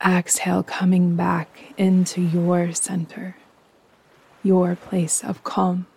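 A woman guiding a yoga nidra meditation in a soft, breathy voice, calm, soothing and unhurried. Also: fairly low pitch. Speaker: meditation guide and host.

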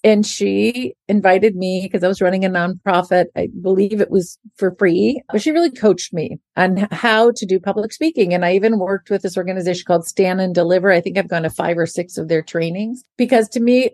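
A woman's voice: mid-range voice, fairly quick, darkish tone, no echo, studio quality. A middle-aged woman hosting a podcast, speaking earnestly and encouragingly straight to the listener in a motivational talk. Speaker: podcast host and leadership advocate.

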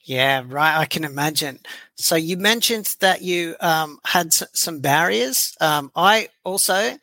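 A man's voice: a little high, expressive, measured pace, pushed and bright, dry recording. A man hosting a podcast, introducing his guest and asking questions in a friendly way, with frequent ums and restarts. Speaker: podcast host.

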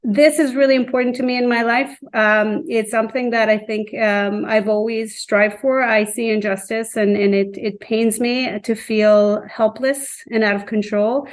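Woman teacher being interviewed, earnest and deliberate, normal pitch. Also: steady tone. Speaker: high school teacher. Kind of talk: reflective interview talk.

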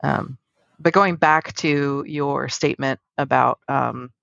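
Middle-aged woman, podcast host, gravelly fry, dry slightly dull recording, reflective chat. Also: extremely low pitch, steady tone, takes it slowly, a bit bright, no room sound. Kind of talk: casual reflective podcast conversation.

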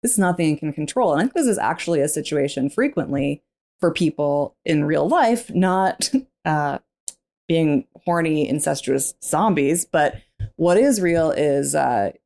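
A woman, a former teacher, co-hosting a casual book discussion podcast, candid and quick to laugh. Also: low voice, vocal fry. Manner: laughs often, animated and candid. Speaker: podcast co-host.

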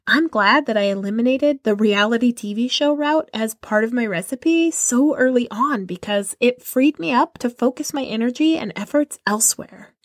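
A woman's voice: a little high, moderate pace, dry recording, studio quality. A young woman hosting a podcast, telling a personal story in an emphatic way and calling listeners "y'all". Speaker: podcast host and musician. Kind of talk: reflective podcast monologue.

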